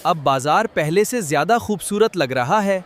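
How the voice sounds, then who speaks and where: A man voicing a friendly, helpful vegetable in a children's cartoon, with an expressive, moralizing manner. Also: on the high side, slight background noise, natural voice. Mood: warm, earnest.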